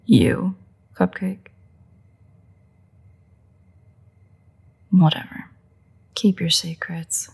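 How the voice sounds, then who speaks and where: A woman playing a sardonic, teasing female vampire in a roleplay audio drama, with a husky, breathy, gravelly voice and a sarcastic, exasperated manner. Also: fairly low pitch, fairly even intonation, slow, darkish tone, exaggerated voice. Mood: teasing, skeptical. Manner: sarcastic, mocking, swearing, dry exasperation. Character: sardonic female vampire, teasing, crude, grudging toward a hunter. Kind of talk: roleplay audio drama, intimate monologue to the listener.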